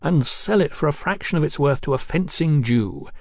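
A man's voice: a little high, animated, normal speed, gravelly, a little mellow, dry recording, clean studio sound, pitched above the performer's own voice. A man voicing a zealous young revolutionary for an audiobook, haughty and grandiose, declaiming like an orator. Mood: mocking. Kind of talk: audiobook character acting.

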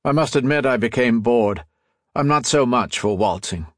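A man's voice: medium pitch, expressive, moderate pace, no room sound, studio quality, close to an everyday voice. A man voicing a scheming male assassin who poses as a genial, folksy American in an audiobook story. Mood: smoothly cordial. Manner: falsely hearty, folksy.

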